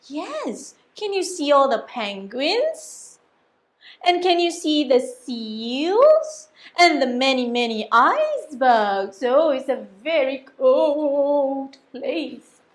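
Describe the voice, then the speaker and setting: A woman reading aloud to young children as a story-time teacher, with an expressive sing-song delivery and coaxing questions. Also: high-pitched.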